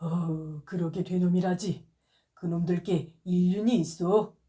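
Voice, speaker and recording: A woman voicing a gruff man who grumbles curt remarks. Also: lowish voice, steady tone, measured pace, dark tone, lightly acted. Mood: grumbling.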